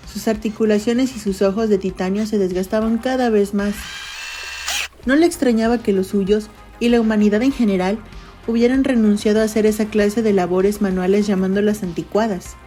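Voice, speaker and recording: A woman's voice: mid-range voice, steady tone, normal speed, dark tone. A woman reading a scripted literary narration for an audio drama. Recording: music bed under voice. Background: background music.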